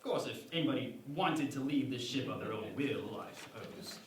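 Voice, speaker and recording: A man playing a composed, pompous starship captain in a parody sci-fi radio play, speaking in a measured, formal way. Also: medium pitch, lively intonation, a little hiss or hum, natural voice. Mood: sheepish. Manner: measured, formal, mock-dignified. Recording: very echoey, distant mic, music behind.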